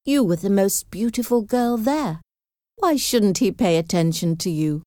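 A sensible, cautious young woman from a classic storybook, played by a woman narrator. She speaks frankly and protectively in a mellow voice, taking her time. The recording is very dry. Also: medium pitch, expressive, measured pace, no room sound, voice pushed up, lightly acted. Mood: matter-of-fact.

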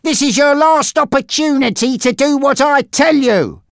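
A narrator voicing a menacing, taunting male gangster boss in an audiobook story, with a threatening, drawn-out delivery. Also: high-pitched, animated, dry recording, studio quality, pitched above the performer's own voice. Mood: angry.

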